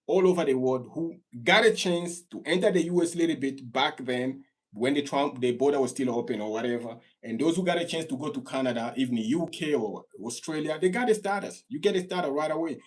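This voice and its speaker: A man from Congo hosts a live stream and answers callers on immigration in a husky, mid-pitched voice. He talks earnestly and repeats his points. When he gets worked up, his voice climbs high and gets louder. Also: quick pace.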